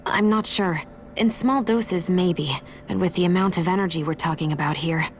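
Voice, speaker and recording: Lowish-voiced, skeptical young heroine played by a woman. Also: steady tone, a little hiss or hum, close to an everyday voice. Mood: skeptical, concerned. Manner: measured, pointed, serious.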